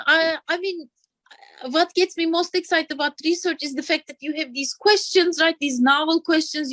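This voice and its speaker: A woman from Turkey in her thirties talks freely as an interview guest. Her voice sits high. She checks in with "right?" as she goes, and the recording is dry and close. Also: no room sound. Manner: chatty, adds "right?" and soft laughs. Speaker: assistant professor, guest. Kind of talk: casual interview talk.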